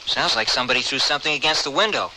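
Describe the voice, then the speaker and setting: An actor playing a hunted, protective outlaw in a radio western, with an earnest manner. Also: male voice, mid-range voice, racing, natural voice. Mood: steady, guarded. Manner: earnest, urgent. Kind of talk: radio western drama acting. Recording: noisy old radio drama recording.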